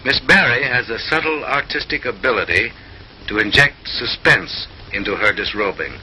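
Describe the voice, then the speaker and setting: A man's voice: mid-range voice, moderate pace, sharp tone, slight background noise, rough recording. A man narrating in a mid-century comic newsreel style, with an arch, mock-refined, theatrical delivery, on a vintage film soundtrack.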